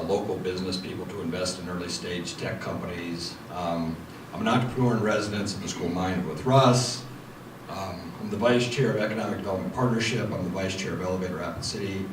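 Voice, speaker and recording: A man's voice: low voice, brisk. A man speaking as a panelist at a meeting, earnest and thick with fillers. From United States. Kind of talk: panel introduction. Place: meeting room. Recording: echoey room mic from far off, noisy.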